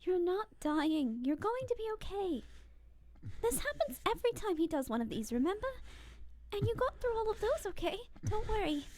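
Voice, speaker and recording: A woman voicing an innocent, reassuring young skeleton brother in an animated comic dub, speaking simply and earnestly. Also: very high-pitched, normal speed. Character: hopeful young skeleton brother, a comforting, innocent little boy.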